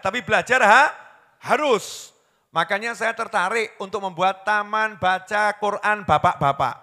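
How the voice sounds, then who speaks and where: A man delivers a sermon in a high, bright, forceful voice. He drops to a hushed storytelling tone, then rises into loud, impassioned pleading. A long reverb from a large hall trails each phrase. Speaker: preacher.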